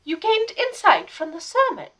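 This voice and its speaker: Woman as devoted curious assistant, radio play, high voice, swooping intonation, eager. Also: normal speed, full character voice. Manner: excitable, inquisitive.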